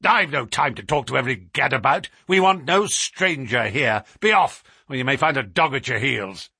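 A man's voice: mid-range voice, animated, normal speed, slightly rough, no room sound, clean studio sound, exaggerated voice. Man putting on a blustering, fierce old horse trainer. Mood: fierce, bullying. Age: older. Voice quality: loud, blustering. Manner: overbearing, blustering. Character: fierce, bullying elderly horse trainer who turns cringing.

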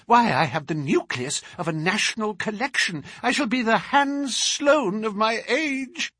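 A man voicing an eager old collector, high and husky. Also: expressive, unhurried, bright, forceful tone, no room sound, studio quality, exaggerated voice. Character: excitable elderly male collector, eager and timid. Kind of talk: audiobook character reading.

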